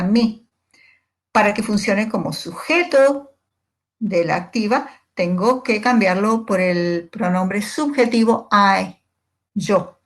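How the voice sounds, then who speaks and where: A woman who is a native Spanish speaker, explaining grammar as a language teacher in a deliberate, methodical, didactic manner. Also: medium pitch. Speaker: English teacher.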